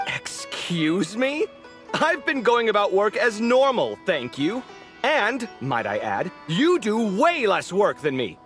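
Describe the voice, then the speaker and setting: Kaveh, an exasperated, expressive young architect. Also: male voice, sits high, slow pace, faint music behind, full character voice. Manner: animated, sarcastic, bickering. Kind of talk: video game cutscene voice acting.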